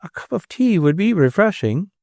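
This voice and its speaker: A man voicing a timid elderly gentlewoman who speaks in a prim, shaky and tearful way. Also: high-pitched, big pitch swings, moderate pace, dry recording, studio quality, voice pushed up. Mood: anxious, prim. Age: older. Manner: tremulous and shaky, prim and formal.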